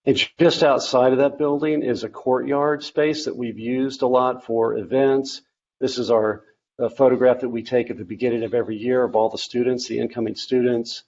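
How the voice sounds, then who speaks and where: A man from the architecture faculty giving a virtual open house presentation, talking haltingly with many fillers and restarts. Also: medium pitch, steady tone, a little mellow, highs cut off, slight background noise. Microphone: video call.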